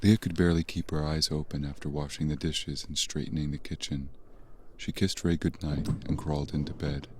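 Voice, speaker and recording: A man's voice: a bass voice, steady tone, a little fast, a little mellow, slightly rough. A man playing the sombre storyteller, narrating a scripted horror audio drama. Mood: measured.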